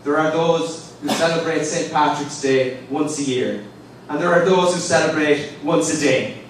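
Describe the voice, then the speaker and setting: A young man from Ireland with an Irish accent, telling deadpan one-liners in a live stand-up set, pausing before each punchline. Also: fairly high pitch. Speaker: stand-up comedian. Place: live comedy gig with an audience laughing. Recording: distant, roomy live recording with audience noise.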